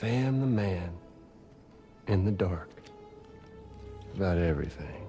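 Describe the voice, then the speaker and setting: A man's voice: sits low, animated, slow pace, soft background music, exaggerated voice. An actor playing a cruel, theatrical male villain who drawls and mocks in a video game cutscene. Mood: menacing. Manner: drawling, mocking, sing-song teasing. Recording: game cutscene with loud score.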